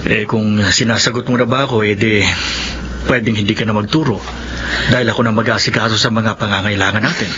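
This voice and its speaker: Actor playing persistent reassuring guy courting someone, radio drama, talks fast, muffled noisy audio. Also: on the low side, fairly even intonation, natural voice. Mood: coaxing. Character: Willie, persistent, reassuring male teacher and suitor. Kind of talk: Filipino radio drama acting. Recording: dull radio recording, clearly noisy.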